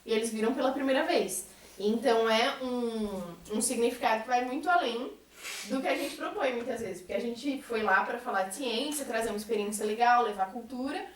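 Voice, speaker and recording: A woman's voice: fairly high pitch, fairly quick. A woman with a Brazilian accent speaking earnestly and articulately in a reflective group conversation, as a member of a theatre troupe. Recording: echoey room, distant mic.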